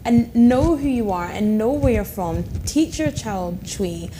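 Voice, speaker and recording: A woman's voice: medium pitch, animated, measured pace, warm tone. Emphatic woman guest, noisy studio. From Ghana. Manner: emphatic, encouraging, many small agreeing noises. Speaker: guest, broadcast journalist. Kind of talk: casual studio chat.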